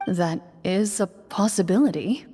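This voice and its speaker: A woman playing a composed, formal young game master, with precise, measured and stern delivery. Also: mid-range voice, warm tone, a little hiss or hum, natural voice. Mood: matter-of-fact. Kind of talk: measured scripted narration, game voice acting. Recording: music behind the voice.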